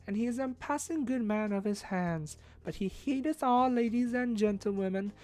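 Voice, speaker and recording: A woman's voice: on the high side, moderate pace, dark tone, voice pushed up, lightly acted. A woman voicing an earnest, aggrieved medieval damsel with formal archaic speech in a storybook. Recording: faint music behind the voice.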